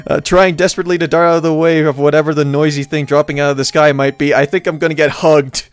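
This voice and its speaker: A man's voice: a little high, little pitch movement, quick pace, no room sound. A man playing and reading a visual novel aloud as a let's play host, with playful, mock-dramatic reactions.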